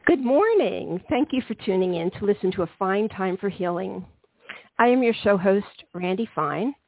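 A woman hosting a healing-themed interview podcast, her voice a little gravelly, talking chattily with frequent ums and soft laughs. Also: medium pitch, animated, moderate pace. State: slightly gravelly voice. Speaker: podcast host. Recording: dry, tinny phone-like sound.

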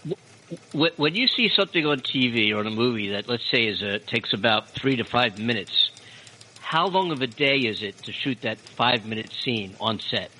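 Bright-voiced joking male host. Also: medium pitch, lively intonation, normal speed, low-quality recording. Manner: self-deprecating joke-telling. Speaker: radio show host. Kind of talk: joking talk show banter.

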